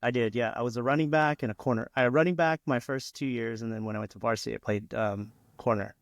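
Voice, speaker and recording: A man's voice: mid-range voice, steady tone, quick pace, no room sound, studio quality. A man in his forties, a podcast guest, talking casually and hesitantly with many ums and restarts. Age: 40s. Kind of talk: casual interview chat.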